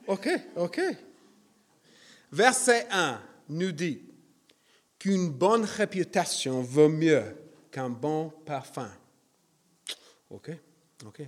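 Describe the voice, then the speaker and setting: A man's voice: fairly high pitch, animated, extremely slow, pauses often. A man preaching a sermon in a church, hesitant with many fillers and self-deprecating.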